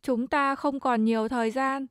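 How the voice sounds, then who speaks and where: A woman's voice: high voice, fairly even intonation, normal speed, no echo. Woman reading short translated lines, dry. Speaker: translation reader.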